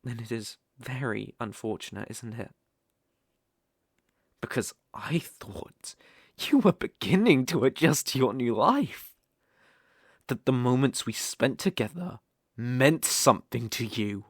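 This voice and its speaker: A possessive, sadistic dark elf slave master with a British accent , acted by a man, made for intimate ASMR roleplay. He speaks unhurriedly, with a gravelly creak and a close, dry sound. His manner is taunting and condescending, lingering over every threat. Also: mid-range voice, lively intonation, slow pace, pauses often, no room sound, full character voice. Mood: cold disappointment.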